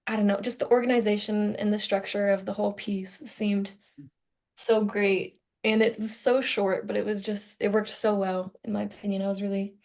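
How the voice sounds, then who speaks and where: A woman's voice: mid-range voice, little pitch movement, moderate pace, gravelly, a little mellow. A young woman in a casual group discussion, speaking informally and with enthusiasm as a student on a podcast. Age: young adult.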